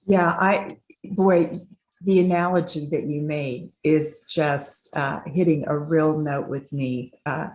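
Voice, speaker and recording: A woman's voice: sits low, fairly even intonation, slow pace, darkish tone. A woman hosting an interview for a foundation, enthusiastically affirming her guest before she asks questions. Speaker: interview host. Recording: echoey room.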